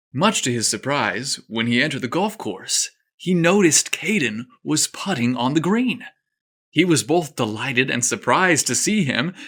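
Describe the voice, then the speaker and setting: A man reading a scripted story aloud with expressive, playful and dramatic delivery. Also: medium pitch. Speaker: storyteller podcast narrator.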